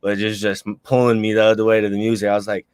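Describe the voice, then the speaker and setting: A man's voice: fairly low pitch, flat delivery, fast, darkish tone, clear, resonant tone, no room sound. Laid-back young man in his twenties, dry close sound. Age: 20s. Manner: laid-back, slangy, laughs easily. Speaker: guest rapper. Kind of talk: casual interview chat.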